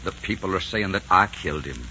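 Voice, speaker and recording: A man's voice: deep voice, expressive, a little fast, sharp tone, heavily acted. An actor playing a bold, passionate nobleman, theatrical and emphatic in 1940s radio drama style. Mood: grave passion. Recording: muffled, hissy 1940s radio recording.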